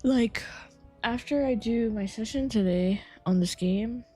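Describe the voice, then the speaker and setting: A woman's voice: fairly high pitch, a little slow, husky, faint music behind. A woman game streamer chatting casually on a live stream, her voice tired and a little scratchy. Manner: relaxed, rambling, chatty.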